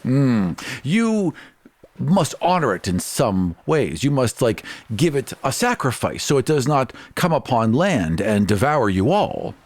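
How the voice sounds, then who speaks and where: A man's voice: mid-range voice, very animated, a little slow, dry recording. A man voicing a pompous, oblivious adventuring nobleman in a tabletop game, speaking in a grandiose, formal and long-winded way. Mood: earnest, presumptuous.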